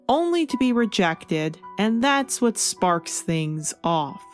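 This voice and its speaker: A woman's voice: mid-range voice, clear, resonant tone. A woman delivering scripted video essay commentary as a review host, explaining her opinions and stressing key words. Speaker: comic book review host.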